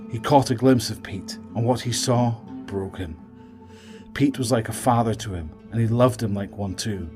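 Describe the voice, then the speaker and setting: A man delivering dramatic scripted narration for an audio drama. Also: on the low side.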